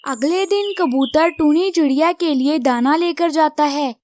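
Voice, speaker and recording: Female narrator for children's animated story, scripted delivery, very high voice. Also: a little slow, slight background noise.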